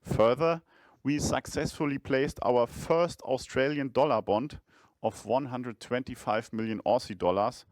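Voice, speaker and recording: A man speaking as a chief financial officer, delivering a scripted earnings presentation in a measured, formal manner. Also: mid-range voice, a little mellow, dry recording.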